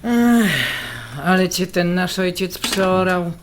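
Radio drama: blunt, teasing woman of the world, played by an actress, low-ish and very animated, audible cutlery and pans behind. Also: slow pace. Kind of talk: radio drama dialogue, naturalistic. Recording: clear kitchen noise and clatter. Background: cutlery, stirring, pots and pans.

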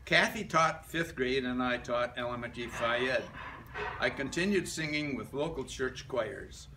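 An older man reads a prepared reminiscence aloud to a group, halting between short phrases. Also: medium pitch. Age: older, about 70s to 80s. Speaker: club member giving a life-story speech. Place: medium-sized indoor meeting room. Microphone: camera a few metres away. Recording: distant room mic with background noise.

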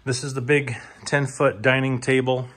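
Man narrating a halting walk-through tour. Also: medium pitch, little pitch movement, a bit bright. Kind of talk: informal narrated walk-through of sale items. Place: indoors, moving through rooms of a large house. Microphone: handheld phone or camera, held by the speaker.

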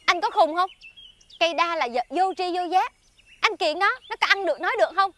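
Woman playing a shrill, indignant village wife. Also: brisk, background noise. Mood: scolding. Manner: sharp, accusing, interrogating. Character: indignant, suspicious, scolding young village wife. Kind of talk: theatrical folk comedy acting.

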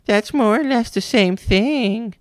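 A man's voice: sits high, takes it slowly, dry recording, voice pushed up. A man reading a storybook, voicing a pompous, cruel male vice principal who mimics people mockingly.

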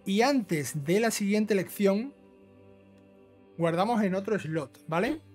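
A high-voiced man talks through a video game guide. He is casual and instructional, dropping in short check-in tags. Music plays behind his voice. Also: expressive, takes it slowly, a little mellow, slightly rough. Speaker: gaming YouTuber giving a trophy guide. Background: background music.

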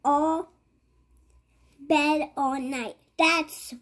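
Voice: high voice, fairly even intonation, very slow, a bit bright. A child reading a picture book aloud. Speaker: child reader.

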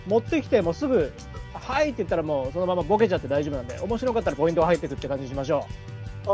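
A man's voice: a little high, very animated, rapid-fire, darkish tone. A man hosting an online comedy show over a video call, bantering and throwing exclamatory, scolding retorts. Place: indoors, separate room on a group video call. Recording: video call with background music.

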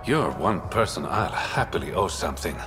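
An actor playing a smooth, wry, roguish smuggler in a space video game, with a teasing, self-mocking manner. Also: male voice, low-pitched, expressive, moderate pace. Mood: teasing, evasive. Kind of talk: video game dialogue acting. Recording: music behind the voice.

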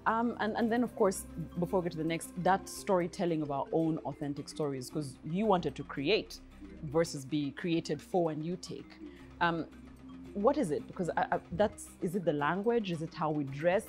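A woman's voice: sits low, moderate pace, darkish tone, soft background music. A woman in her thirties with a Ugandan English accent, hosting a studio interview and asking long, chatty questions. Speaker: host. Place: bright indoor studio set with armchairs.

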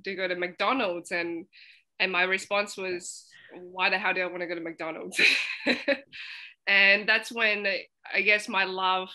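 A woman's voice: medium pitch, fairly even intonation, a little slow, bright, forceful tone. A young woman on a casual video call from home, joking and self-mocking, laughing often. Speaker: co-host. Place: home room indoors. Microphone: webcam on video call.

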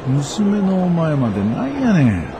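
Middle-aged shopkeeper dad, Kansai accent, gruff, grumbling, kindly, radio drama, noisy surroundings. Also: male voice, fairly high pitch, wildly swooping pitch, unhurried, a crowd in the background, heavily acted. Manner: grumbling, blustering. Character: gruff, good-natured middle-aged bicycle repairman father.

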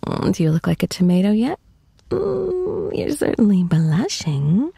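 A woman playing an affectionate, teasing girlfriend in an intimate ASMR roleplay, cooing tenderly and often slipping into soft, breathy whispers. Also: medium pitch, very animated, exaggerated voice. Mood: playful, adoring. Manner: cooing, playful, slow and tender. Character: affectionate, teasing young girlfriend comforting her shy boyfriend.

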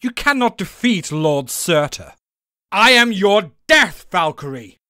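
Villainous warrior: slow, grand, threatening, very dynamic, dry sound. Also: male voice, high-pitched, sing-song, sharp tone, clean tone, no room sound, voice pushed up, full character voice. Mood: menacing. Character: menacing warrior villain boasting of death.

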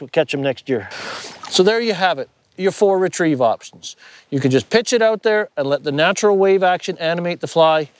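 A man's voice: fairly high pitch, a little hiss or hum. A middle-aged man teaching fly fishing outdoors from a small boat on a lake, talking through each step as he plays the fish, on a clip-on mic. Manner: relaxed, explains as he plays the fish. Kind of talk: instructional commentary while fishing.

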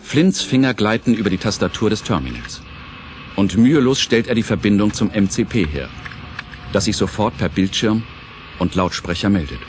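A man's voice: fairly low pitch, expressive, normal speed. A man narrating a scripted audio drama as its storyteller. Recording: clear music under narration.

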